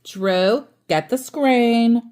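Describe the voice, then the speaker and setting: A woman's voice: on the high side, drawn-out words, a little mellow, smooth, voice pushed up. A woman voicing a bossy, complaining old great-aunt from a children's cartoon story, with a commanding, emphatic manner.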